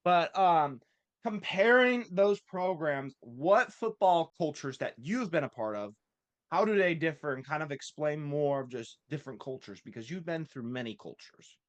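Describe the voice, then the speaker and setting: A man's voice: fairly high pitch, lively intonation, normal speed, no echo, highs cut off. A man hosting a casual sports interview, asking informal, loosely strung-together questions. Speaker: interviewer, host.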